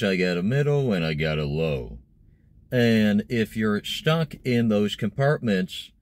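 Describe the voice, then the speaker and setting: A man's voice: fairly low pitch, dry recording. A young man talking casually to camera, explaining things as a vlogger sitting in a parked car. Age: young adult. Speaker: vlogger discussing voice acting.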